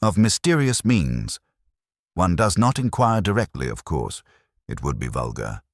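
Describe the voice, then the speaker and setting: A man voicing a prim, disapproving older gentlewoman in a formal, roundabout manner, as part of an audiobook story. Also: low voice, big pitch swings, measured pace, no room sound, studio quality, exaggerated voice. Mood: prim disapproval. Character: genteel, disapproving widow's cousin, a prim older woman.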